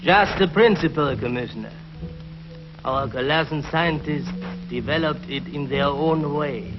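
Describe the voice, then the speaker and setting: An actor playing a smug, fanatical alien saboteur in a 1950s science fiction TV show, theatrical and taunting. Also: male voice, mid-range voice, close to an everyday voice. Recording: muffled 1950s TV soundtrack with music.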